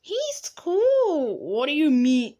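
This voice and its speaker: A woman improvising dialogue for squabbling cartoon alphabet letters in an amateur animated skit, with halting, repetitive phrasing. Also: high voice, lo-fi sound, heavy background noise.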